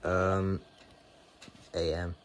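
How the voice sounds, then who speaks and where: A man co-hosting a casual, hands-on gadget review in a halting, tentative, informal way. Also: deep voice, flat delivery. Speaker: radio reviewer, co-host. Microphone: phone filming close by. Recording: noisy amateur phone recording with static.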